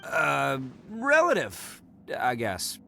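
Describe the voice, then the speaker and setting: A man's voice: medium pitch, huge pitch swings, drawn-out words, vocal fry, bright, forceful tone, a little hiss or hum, exaggerated voice. A man playing a brash, good-hearted young oni gang boss in a video game, with a cocky manner. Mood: awkward, earnest. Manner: cocky, swaggering.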